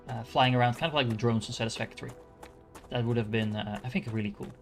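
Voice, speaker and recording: Dutch guy streaming a game, mid pitch, rambly thinking out loud, faint music behind. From Netherlands. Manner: thinking aloud, rambling with fillers and restarts. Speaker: gaming streamer. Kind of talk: casual gameplay commentary.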